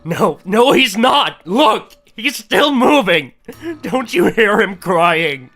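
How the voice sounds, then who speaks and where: Visual novel mother in desperate denial, male performer: shrill, high, wildly swooping delivery over music. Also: lively intonation, takes it slowly, pushed and bright, heavily acted. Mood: frantic pleading. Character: hysterical grieving mother in frantic denial. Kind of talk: dramatic visual novel character acting.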